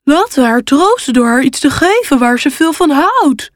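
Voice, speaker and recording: A woman playing a plucky, high-voiced little pug. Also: big pitch swings, normal speed, dry recording, clean studio sound. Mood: cheerful. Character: plucky little female pug dog, playful, frank. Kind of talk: children's storybook character voice.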